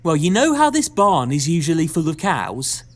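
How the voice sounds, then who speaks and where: A woman's voice: sits low, big pitch swings, moderate pace. Actress as exasperated dairy-farm mother, swooping intonation, wordy, sarcastic, theatrical pantomime delivery. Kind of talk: audio pantomime acting.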